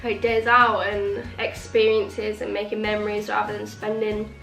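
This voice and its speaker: A woman's voice: medium pitch, monotone, moderate pace, gravelly, a bit bright. A young woman chatting casually to camera as a vlogger, sniffly with a cold and rambling with lots of "like". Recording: echoey room with faint music. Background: faint music.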